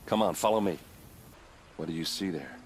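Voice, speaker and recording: Video game soldier, extremely deep voice, gruff, bitter, wry, grief-worn, noisy background. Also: male voice, expressive, moderate pace. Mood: urgent, indignant. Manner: blunt, wry, world-weary. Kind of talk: cinematic video game dialogue.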